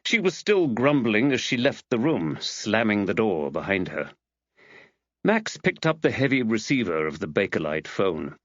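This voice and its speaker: A man's voice: on the low side, sing-song, moderate pace, no room sound, lo-fi sound. A man narrating a mystery audiobook from a script.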